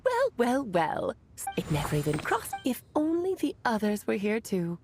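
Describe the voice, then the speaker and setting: A woman's voice: a little high, huge pitch swings, moderate pace, a little mellow, faint music behind. A woman playing a breezy, doting video game character with a theatrical, teasing manner. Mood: playful.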